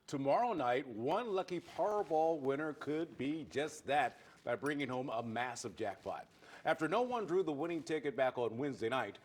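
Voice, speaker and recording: Lively, husky American male news anchor. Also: a little high. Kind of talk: news reading and casual anchor banter. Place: TV studio.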